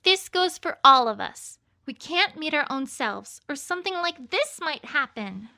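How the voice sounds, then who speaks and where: Bossy nerdy unicorn pony, really high sharp voice, worried and businesslike, cartoon story. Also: female voice, very animated, unhurried, heavily acted. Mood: firm, regretful. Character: earnest, bossy studious female unicorn pony, anxious leader. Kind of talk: scripted story dramatisation.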